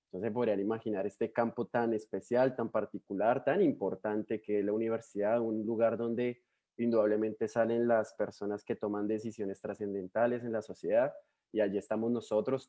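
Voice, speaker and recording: Young Colombian man, mellow friendly voice. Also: mid-range voice. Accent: Colombian Spanish. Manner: friendly, chatty, many fillers and restarts. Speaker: missionary guest speaker. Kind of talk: warm personal introduction to a church. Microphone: video call.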